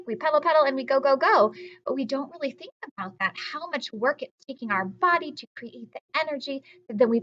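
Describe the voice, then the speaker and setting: A woman with a fairly high voice speaks as an elementary teacher reading aloud to children. She explains in simple terms and puts encouraging questions to her young listeners. The recording sounds somewhat dull and lo-fi. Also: a bit bright, slight background noise. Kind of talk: read-aloud lesson for children with asides and questions.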